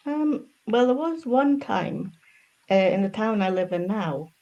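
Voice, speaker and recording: A woman who grew up in Scotland, a guest on a podcast, calmly telling a personal story. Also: mid-range voice, unhurried, highs cut off. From Scotland, from about age ten. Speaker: guest, anti-racism consultant.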